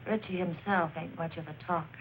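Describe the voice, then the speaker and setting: An actress playing a brassy, defiant small-time crook in a film noir crime drama, with tough, sarcastic, slangy speech. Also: female voice, fairly low pitch, fairly even intonation, quick pace, narrow, tinny audio, a little hiss or hum, lightly acted. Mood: cagey, sardonic.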